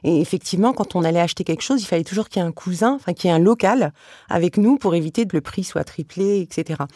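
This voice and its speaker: A woman guest author talking frankly and telling personal stories in a relaxed podcast conversation. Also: lowish voice, no room sound, studio quality. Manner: brisk, storytelling, frank.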